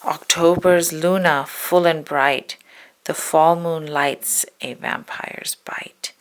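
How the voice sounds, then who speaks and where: A woman reading a spooky children's poem aloud in a dramatic, hushed storytelling manner, stretching words and dropping into whispers. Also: sits low, fairly even intonation, slow pace, poor audio. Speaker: storybook reader.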